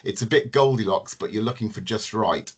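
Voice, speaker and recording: Guy w a lowish voice walking through job application tips, calm n methodical, some ums. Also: slightly rough. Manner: measured, methodical advice with frequent ums. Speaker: team manager. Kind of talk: presenting in a webinar.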